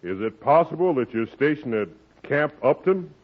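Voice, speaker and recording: A genial, lively army major. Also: male voice, on the high side, moderate pace. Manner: polite, genial, probing. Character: courteous middle-aged army major, father. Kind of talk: 1940s radio comedy acting. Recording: tinny old radio broadcast.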